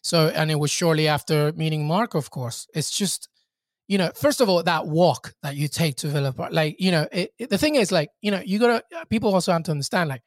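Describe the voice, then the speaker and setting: A man's voice: on the high side, fairly quick, no room sound. Reflective Peruvian-born man, dry sound. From Peru. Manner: reflective, lots of fillers and restarts. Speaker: podcast guest. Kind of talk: casual podcast chat.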